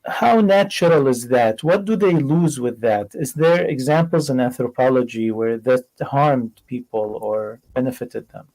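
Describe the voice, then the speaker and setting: A man's voice: mid-range voice, unhurried, hardly any pauses, a little mellow, rough recording. A man hosting an interview, asking earnest questions and adding his own views, with some restarts. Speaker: host.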